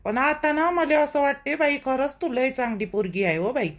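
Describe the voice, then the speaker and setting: A woman's voice: sits high, moderate pace, lo-fi sound, close to an everyday voice. A woman playing a protective middle-aged village mother-in-law in a cartoon, chatty and emphatic, with a Vidarbha Marathi accent. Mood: confiding. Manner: chatty, emphatic, repeats herself. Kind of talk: homely cartoon drama dialogue.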